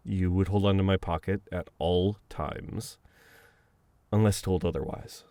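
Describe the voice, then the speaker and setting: Man acting as male best friend, low voice, slow pace, flustered, teasing, protective, halting banter, intimate audio roleplay, dry sound. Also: fairly even intonation, mellow, dry recording. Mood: teasing, gently firm.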